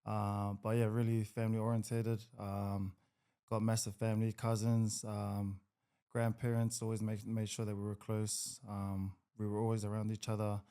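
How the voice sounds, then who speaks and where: A man's voice: on the low side, completely flat delivery, moderate pace, mellow, clean tone. Easygoing young New Zealand man. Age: 20s. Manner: easygoing, hesitant with many ums, laughs a lot. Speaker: guest, rugby league player. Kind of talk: casual podcast interview.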